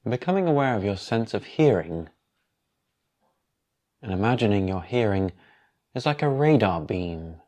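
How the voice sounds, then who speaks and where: Male meditation guide, lowish voice, calm and unhurried, leaving long pauses between instructions. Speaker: yoga nidra meditation guide.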